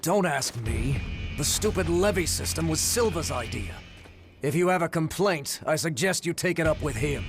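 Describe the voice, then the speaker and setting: An actor playing a smug, menacing gang overseer in a video game, gravelly and condescending with a mock-polite manner. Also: male voice, fairly high pitch, lively intonation, moderate pace. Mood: mocking, threatening. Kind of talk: video game cutscene acting. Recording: dramatic music behind the voice.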